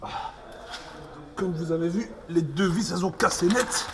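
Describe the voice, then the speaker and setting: Easygoing DIY mechanic, man, gravelly, slightly high voice; workshop noise behind. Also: measured pace. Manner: easygoing, shrugging off setbacks. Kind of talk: casual how-to narration.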